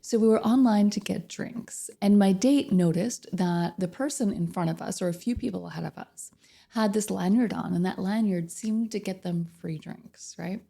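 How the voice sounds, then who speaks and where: A woman coach with a slightly hoarse voice from a cold, chatting directly to viewers about relationships and asking them questions. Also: medium pitch, moderate pace, mellow. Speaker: narcissistic abuse recovery coach. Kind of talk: casual direct-to-camera advice talk.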